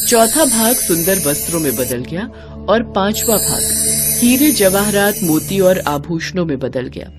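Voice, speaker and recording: A woman narrator reading a scripted devotional moral story. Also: fairly low pitch.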